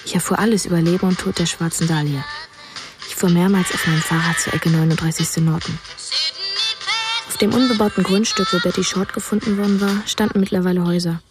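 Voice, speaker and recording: A hushed, detached boy narrator voiced by a woman tells of his morbid obsession in a radio drama. The voice sits fairly low for a female one, with music playing underneath. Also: fairly even intonation, brisk, close to an everyday voice. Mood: obsessive recollection. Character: writer's boyhood self, obsessed with murder, narrating.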